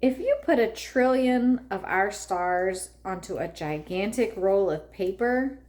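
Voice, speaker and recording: Female read-aloud narrator for kids' storybook, medium pitch, unhurried measured delivery with expressive emphasis.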